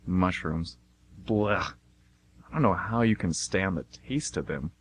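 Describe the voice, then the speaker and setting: A man's voice: low voice, lively intonation, slow, fairly bright tone, slight background noise, pitched below the performer's own voice, heavily acted. A man playing a casual but guarded man in his twenties in an amateur radio play.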